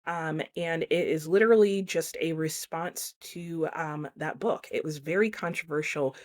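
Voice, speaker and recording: Casting: female book reviewer, lowish pitch, informal and conversational, talking straight to viewers. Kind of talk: casual chatty book review to camera.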